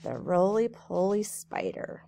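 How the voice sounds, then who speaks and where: A woman teacher reading a storybook aloud to children in a measured, sing-song way. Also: medium pitch, sing-song, gravelly, mellow, slight background noise. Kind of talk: storybook read-aloud narration.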